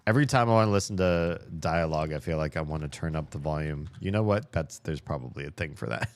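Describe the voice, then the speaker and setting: Young guy streaming a game, deep voice, kinda hoarse, mutters and cusses a lot. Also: no room sound. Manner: chatty, swears a lot, talks to himself while playing. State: slightly hoarse. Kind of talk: casual gameplay commentary.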